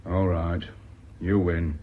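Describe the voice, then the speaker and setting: A man's voice: low-pitched, flat delivery, slow pace, slight background noise. An actor playing a blunt, overbearing businessman in a mid-century radio drama, curt and scornful in manner. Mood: grudging. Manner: gruff, curt, bullying. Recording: dull vintage radio recording.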